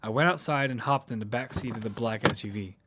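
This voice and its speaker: A man's voice: mid-range voice, fast, heavy background noise, close to an everyday voice. A man playing a determined lead who narrates his own survival story in a matter-of-fact way. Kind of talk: first-person audio fiction narration. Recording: music bed behind the voice.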